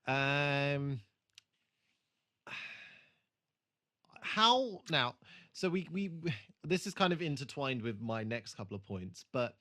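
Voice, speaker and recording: A man's voice: mid-range voice, expressive, slow pace, pauses often, sharp tone. A man co-hosting a podcast, chatting casually and critiquing bluntly with plenty of swearing. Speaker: podcast co-host. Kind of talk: casual chat, TV episode review.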